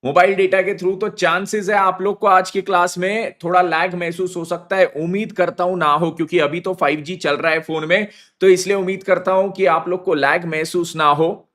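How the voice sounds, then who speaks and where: A man teaching accounting live over a phone stream, his voice high-pitched and hoarse from a sore throat. He fires up his students with slangy hype and keeps dropping English phrases into his Hindi. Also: steady tone, normal speed, hardly any pauses, a bit bright. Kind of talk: energetic live online class. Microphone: phone on mobile data, live stream.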